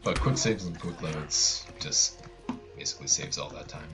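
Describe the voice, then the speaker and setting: A man's voice: a bass voice, moderate pace. A man giving casual, wry live commentary on a video game speedrun, talking to his viewers. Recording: game music behind.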